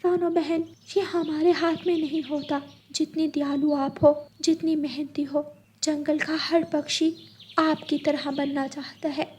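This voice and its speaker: Woman doing a high comforting parrot friend. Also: flat delivery, unhurried, a little hiss or hum. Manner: comforting, warm-hearted. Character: caring female parrot friend.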